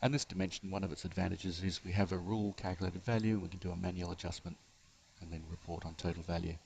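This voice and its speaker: Male software presenter, low voice, halting step-by-step walkthrough, unscripted screencast delivery. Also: fairly even intonation, gravelly, a little hiss or hum. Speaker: software developer giving a demonstration. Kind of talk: unscripted screencast tutorial narration.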